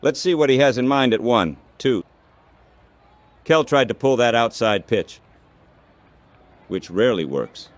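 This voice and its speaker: A man doing baseball play-by-play commentary as the announcer, in measured, clipped phrases. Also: mid-range voice, lively intonation, no room sound.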